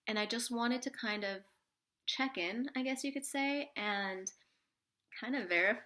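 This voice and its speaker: Sniffly woman with a bright voice. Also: medium pitch. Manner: rambling, soft, with many fillers and restarts. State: sniffly from a cold. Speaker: spiritual vlogger. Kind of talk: casual talk to camera.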